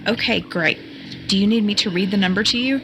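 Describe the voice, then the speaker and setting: A curious young computer sleuth acted by a woman with a mid-pitched voice. She explains things conversationally in an audio drama, with clear noise in the background. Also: brisk, close to an everyday voice. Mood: concerned, intrigued.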